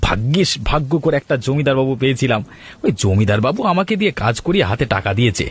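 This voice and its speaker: A man's voice: mid-range voice, expressive, quick pace, husky. A man voicing a wily, greedy village husband in a cartoon, brash and talkative. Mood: chatty. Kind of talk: cartoon storytelling voice acting.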